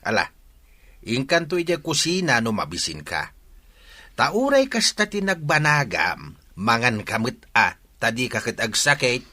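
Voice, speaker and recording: A man's voice: mid-range voice, wildly swooping pitch, unhurried, pushed and bright, exaggerated voice. A man playing a concerned, practical middle-aged village father in a radio drama, insistent, with an Ilocano accent.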